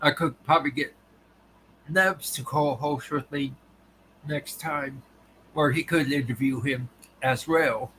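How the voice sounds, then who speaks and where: Guy on a livestream, slow and halting, voice going dry, a bit high, faint hum behind. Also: steady tone, pushed and bright, low-quality recording. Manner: halting, restarts often. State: voice tiring and dry. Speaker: livestream host. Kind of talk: casual live chat with viewers.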